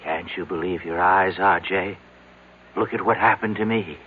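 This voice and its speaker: An actor in an old-time radio drama playing a frightened, broken aide aged to seventy, halting and pleading in a frail voice. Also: male voice, deep voice, slightly rough. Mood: urgent warning. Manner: halting, pleading, repeating himself. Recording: muffled, noisy old radio broadcast recording.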